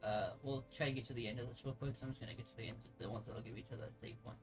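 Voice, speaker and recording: A man's voice: mid-range voice, rapid-fire. A man doing informal let's play commentary, chatting casually and sometimes stumbling as he reads game text aloud. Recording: music playing behind the voice.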